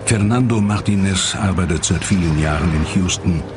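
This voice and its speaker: A man's voice: sits low, moderate pace, over music. A man narrating a dramatic documentary from a script.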